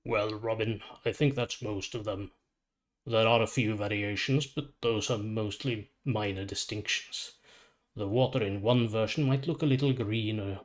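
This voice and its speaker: Guy doing a calm old death-guide supervillain for a story, measured n formal, kinda quiet and matter of fact. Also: on the low side, lightly acted. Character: calm, weary, ancient psychopomp supervillain, death's courteous guide.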